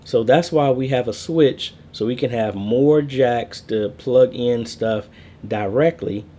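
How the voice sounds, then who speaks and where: A man's voice: mid-range voice, mellow. A man in his thirties giving a casual screen-share tutorial on a church network, explaining step by step with fillers and small corrections, recorded on a webcam indoors.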